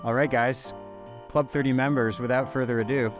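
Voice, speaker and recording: A man's voice: medium pitch, fairly even intonation, normal speed, over music. A man from Ontario, Canada, in his forties, co-hosting a casual podcast with an upbeat, enthusiastic manner. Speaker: podcast co-host.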